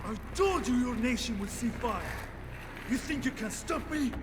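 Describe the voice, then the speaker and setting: A man's voice: very high voice, lively intonation, noisy background. An actor playing a fanatical, menacing male terrorist leader, threatening his enemies as a video game villain. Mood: menacing, defiant. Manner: slow, threatening. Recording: music behind the voice.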